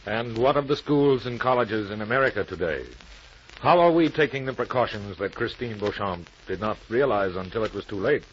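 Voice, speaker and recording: A man's voice: fairly low pitch, brisk. A man giving earnest, rhetorical scripted narration in the manner of a 1940s radio programme. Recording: muffled, noisy old radio recording.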